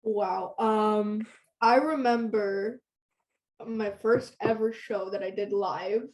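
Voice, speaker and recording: A woman's voice: mid-range voice, steady tone, slow pace, a little mellow, poor audio. A teenage girl from Canada who grew up speaking Hebrew, chatting casually as an interview guest with many "like"s and "um"s. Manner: chatty, lots of "like" and "um". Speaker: guest singer-songwriter.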